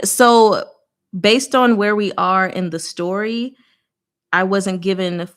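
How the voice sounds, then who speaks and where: A woman with a slightly low voice talks casually, as if chatting with viewers on her livestream. She is animated and informal, with a playfully exasperated way of explaining things as host of a book discussion. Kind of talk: casual live chat with viewers.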